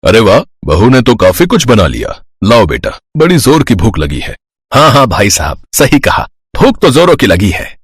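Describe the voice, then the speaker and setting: A man acts out a jovial older uncle from a storybook family tale. His voice is husky and swings widely in pitch as he exclaims, in a dry recording. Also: huge pitch swings, normal speed, dry recording, heavily acted.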